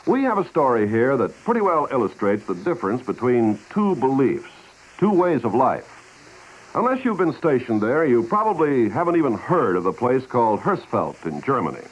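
A male announcer reads scripted narration in 1950s radio style. He is measured and earnest, with a mid-range voice and very varied intonation. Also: mid-range voice, sing-song, husky, highs cut off.

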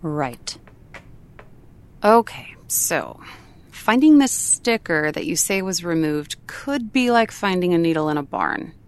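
An actress in an audio drama playing a practical, skeptical office colleague with a dry, casual manner. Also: female voice, on the low side, very animated, slow pace, smooth, heavily acted. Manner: matter-of-fact, dry, casual. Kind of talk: naturalistic audio drama acting.

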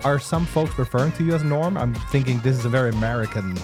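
A man's voice: mid-range voice, quick pace, music in the background. A man co-hosting a podcast, asking questions in a playful, joking way and laughing often. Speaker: podcast co-host. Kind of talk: casual interview chat.

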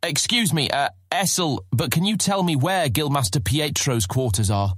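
A man playing a downtrodden young thief in a fantasy audiobook, with a husky voice. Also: on the high side, animated, moderate pace, a bit bright, dry recording, studio quality. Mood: hesitant, polite. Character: young male thief, downtrodden but newly defiant. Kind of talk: full-cast fantasy audiobook acting.

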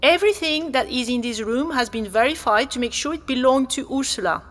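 An actress playing a helpful, slightly flustered exhibition guide, speaking politely and hesitantly in naturalistic audio drama dialogue. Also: female voice, high voice, expressive, fairly quick, fairly bright tone. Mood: polite, doubtful. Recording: long hall-like reverb.

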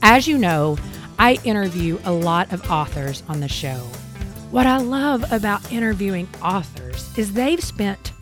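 A woman hosting a faith podcast, reading her intro and then interviewing her guest in a conversational way. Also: lowish voice. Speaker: podcast host.

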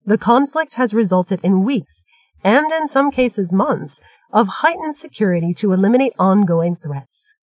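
A woman playing a composed news correspondent, reading a scripted report in a measured, formal way for an audio drama. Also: mid-range voice, lively intonation, darkish tone, no echo. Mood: composed, serious.

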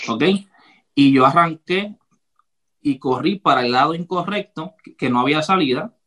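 A man hosting a podcast, telling a childhood story in a relaxed, casual way and laughing easily. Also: mid-range voice. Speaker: podcast host. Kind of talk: casual conversation.

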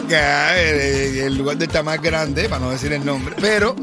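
A man's voice: on the high side, moderate pace. A man co-hosting a radio show with casual, slangy, teasing comic talk, often repeating his phrases. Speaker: radio show co-host and joke teller. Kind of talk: casual comic banter and joke telling. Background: background music.

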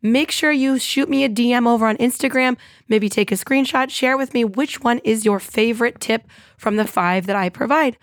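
A woman hosting her own podcast in an upbeat, chatty monologue, her voice a little scratchy and hoarse. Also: fairly high pitch, moderate pace, no room sound, studio quality. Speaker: podcast host.

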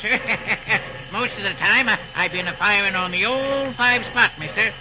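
A man's voice: sits high, measured pace, muffled recording. An actor playing a good-natured rural American railroad fireman with a folksy drawl, in old-time radio style. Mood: earnest, cheerful.

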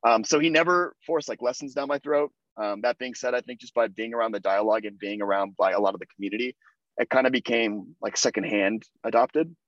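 A man's voice: mid-range voice, a little fast, a bit bright, no echo, dull audio. A young American man, a podcast guest, chatting casually with lots of fillers and restarts. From United States. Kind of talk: casual interview conversation.

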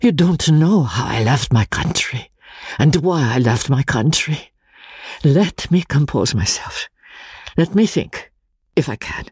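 A man voicing a little Italian man, gravely earnest, trembling and hesitant, in a dramatic audiobook reading. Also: medium pitch, animated, slow pace, no echo, studio quality, full character voice. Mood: shaken, secretive.

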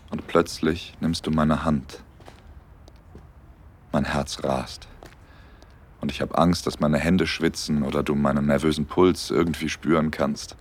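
A young man in love, in a romantic audio drama. His voice is deep and low. He speaks tenderly and intimately, as a smitten, slightly nervous storyteller. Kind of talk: intimate first-person audio drama narration and dialogue.

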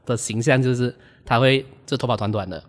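A man with a Malaysian accent, an amateur cast member in casual chat, halting as he pauses to think. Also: mid-range voice, in a large hall.

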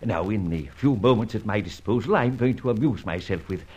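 An actor playing a suave, mocking gentleman adventurer in a vintage radio drama, urbane and ironically polite. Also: lowish voice, expressive, fairly quick, husky. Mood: suave, teasing. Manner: urbane, ironically polite, hesitating with drawled uhs.

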